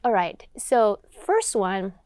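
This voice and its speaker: A woman's voice: medium pitch, smooth, no echo. Georgian woman reciting poetry, dry sound. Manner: earnest, slow and expressive when reciting. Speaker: guest poet. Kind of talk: interview, reciting poems.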